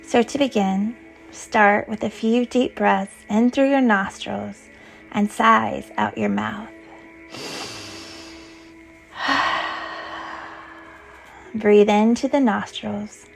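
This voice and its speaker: A woman leading a guided yoga nidra meditation as a teacher, with a soothing, gentle, unhurried manner of instruction. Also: medium pitch, steady tone, gravelly, faint music behind.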